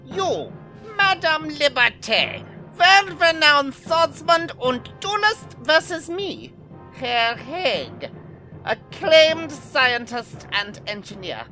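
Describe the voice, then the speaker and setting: Casting: a theatrical villainous scientist, aggrieved and grandiose, slow-paced with sharp tone, for radio play. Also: male voice, very high-pitched, big pitch swings, soft background music, exaggerated voice. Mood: gloating. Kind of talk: audio drama acting.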